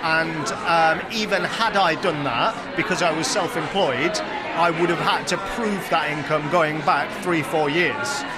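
Yorkshire man chatting over loud crowd. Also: fairly high pitch, unhurried. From England. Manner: chatty, self-deprecating storyteller. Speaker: interviewee. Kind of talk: street interview. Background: crowd noise.

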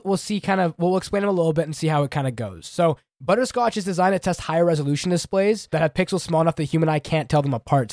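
A young man co-hosting a casual tech podcast, chatty and quick, a little under the weather. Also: fairly high pitch, darkish tone, no room sound, clean studio sound. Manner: quick, chatty, many restarts and "like"s. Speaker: podcast co-host.

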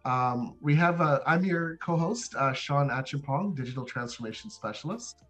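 A man co-hosting an interview show, introducing a guest in an enthusiastic, formal way with hesitant pauses. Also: mid-range voice, expressive, a bit bright, faint music behind. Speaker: podcast co-host.

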